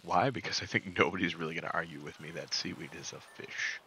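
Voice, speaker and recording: A young man giving relaxed, joking commentary while playing a game, with a soft, soothing voice and mumbled asides. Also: low voice, quick pace, slight background noise. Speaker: gaming streamer. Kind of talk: casual gameplay commentary.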